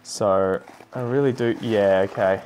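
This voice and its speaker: A man's voice: fairly low pitch, fairly even intonation, measured pace, a little mellow, a little hiss or hum. A man in his thirties with an Australian accent, chatting casually and thinking aloud as he does plant chores in his lounge room. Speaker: plant vlogger. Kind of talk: casual chat while doing chores. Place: lounge room full of houseplants.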